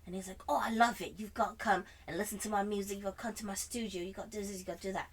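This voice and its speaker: A woman telling an animated anecdote in casual chat, theatrically acting out dialogue with a put-on British accent. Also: medium pitch, very fast, slight background noise. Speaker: storyteller.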